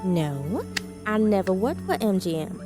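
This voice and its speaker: An actress playing a feisty, decisive adventure heroine with dry wit in a pulp audio drama. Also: female voice, medium pitch, sing-song, unhurried. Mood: wry. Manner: decisive, wry. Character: feisty, dry-witted adventurous film-star heroine, adult woman. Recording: music behind the voice.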